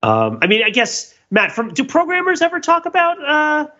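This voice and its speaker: A man in casual, joking analytical podcast chat, animated and riffing as he goes. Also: very high-pitched, moderate pace. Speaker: podcast panelist. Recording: dull, slightly lo-fi audio.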